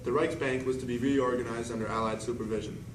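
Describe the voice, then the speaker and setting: A man's voice: medium pitch, steady tone, fast. A man reading scripted news as co-anchor of an amateur newscast. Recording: echoey, noisy room, distant mic.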